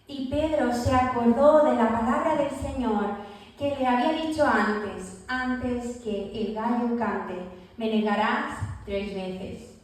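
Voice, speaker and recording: A woman with a high voice preaches to her congregation, telling the story vividly and acting out the lines she quotes. She is heard from a distance in a very echoey church hall. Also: a little mellow. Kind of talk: sermon.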